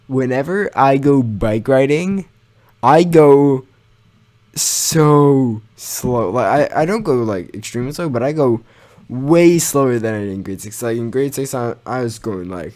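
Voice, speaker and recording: A man's voice: medium pitch, a little mellow. A young man telling a personal story in a casual, informal way, mildly sweary and poking fun at himself. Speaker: gaming channel storyteller.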